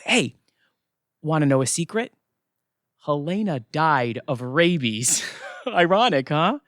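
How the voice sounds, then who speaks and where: Man acting a flippant, animated afterlife attendant. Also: a little high, dry recording, studio quality. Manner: flippant, chatty, jokey. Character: breezy, wisecracking male afterlife check-in attendant. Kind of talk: audio theatre comedy acting.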